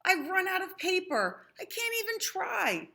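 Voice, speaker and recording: A woman's voice: very high-pitched, very animated, measured pace, vocal fry, bright, forceful tone, pitched above the performer's own voice, full character voice. A woman voicing a small, earnest, easily discouraged male squiggle in a children's picture book, in an exclaiming way. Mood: frustrated.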